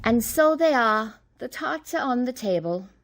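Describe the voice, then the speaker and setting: A woman's voice: on the high side, expressive, unhurried. A woman in a radio play acting as a bloodthirsty, imperious Queen with a commanding manner. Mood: haughty.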